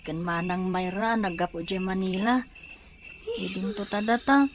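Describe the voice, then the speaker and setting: A woman's voice: mid-range voice, moderate pace, a little hiss or hum, close to an everyday voice. A woman playing a puzzled, hesitant child in an Ilocano audio drama.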